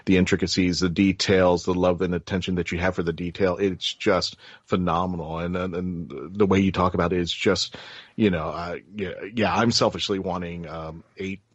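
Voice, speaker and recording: A man's voice: sits low, steady tone, normal speed, husky, no room sound, lo-fi sound. A man hosting a casual interview show, talking in an effusive, informal, rambling way. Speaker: talk show host.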